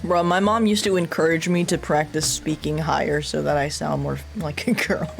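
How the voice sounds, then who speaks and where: A woman chatting casually on a stream, telling relaxed, reflective stories about growing up. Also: sits low, normal speed, gravelly, faint music behind. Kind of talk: casual chat on a live stream.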